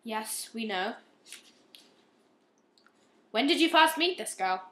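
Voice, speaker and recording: Woman playing a stern inspector, bright, high voice. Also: lively intonation. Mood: cool, insistent. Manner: probing, measured, morally accusing. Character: stern, relentless police inspector questioning a family. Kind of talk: amateur radio play acting. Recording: roomy, echoing amateur recording.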